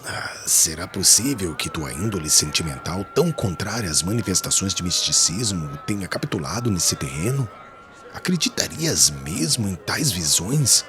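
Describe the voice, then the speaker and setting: A man acts out a proud Pharisee with a very low voice and lively, swooping intonation. He is haughty and offended, questioning with scornful disbelief in an audiobook drama, over music. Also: normal speed. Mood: scornful, reproachful. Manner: haughty, incredulous, rhetorical questioning.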